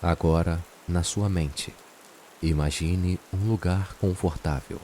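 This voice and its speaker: A man with a Brazilian accent narrates a guided meditation in a soothing, unhurried way, leaving long pauses. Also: deep voice. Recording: steady rain and hiss behind voice. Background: rain sounds.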